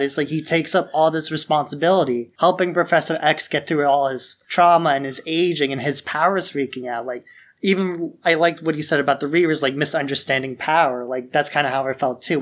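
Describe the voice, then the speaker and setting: A man's voice: a little high, steady tone, fairly quick, fairly bright tone. A man co-hosting a podcast, talking casually and informally about films with a fan's strong opinions. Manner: informal, opinionated fan talk. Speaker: podcast host. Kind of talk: casual film discussion.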